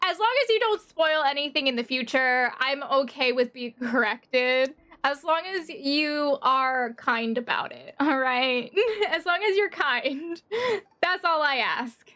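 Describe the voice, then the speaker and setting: A woman giving casual, expressive playthrough commentary as a game streamer, exclaiming and reading dialogue aloud. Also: sits high, slow. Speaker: streamer reacting to a game story. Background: game music.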